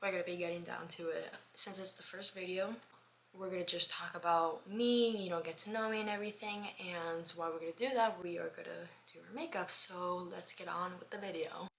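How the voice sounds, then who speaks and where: A young woman in her twenties, vlogging as she gets ready, her voice bright and slightly low-pitched. She rambles in an upbeat, casual way, full of "um" and "like", sometimes slipping into soft near-whispers. There is a faint hiss under the recording. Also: fairly even intonation, brisk, vocal fry. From Miami, United States. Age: 20s. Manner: chatty, upbeat, many fillers like "um" and "like". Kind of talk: casual get-ready-with-me chat.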